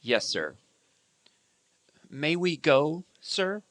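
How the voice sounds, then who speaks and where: A timid office clerk, acted by a man in a radio play. The voice is bright and swings up and down in pitch. He is deferential to his boss. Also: very animated, extremely slow, exaggerated voice. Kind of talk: stage radio drama acting.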